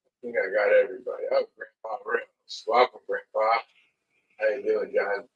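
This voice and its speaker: A man with a slightly raspy voice hosting a casual livestream in a halting, unhurried manner. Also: fairly low pitch, flat delivery, a little hiss or hum. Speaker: livestream host. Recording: muffled lo-fi audio, distant mic.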